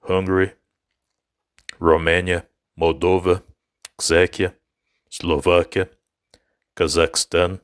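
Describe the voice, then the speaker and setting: A man giving a scripted educational narration in a slow, measured, formal way. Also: low voice, clear, resonant tone, dry recording, clean studio sound. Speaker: channel host.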